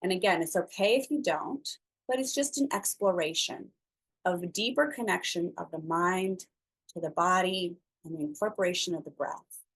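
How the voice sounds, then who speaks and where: A woman instructor leading a slow guided breathing meditation, speaking softly and soothingly with long pauses between phrases. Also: on the low side, breathy edge, rough recording.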